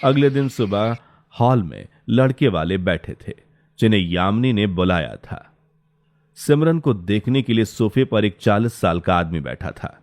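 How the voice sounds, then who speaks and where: A man's voice: on the low side, expressive, unhurried, darkish tone. A man narrating a scripted story as its storyteller.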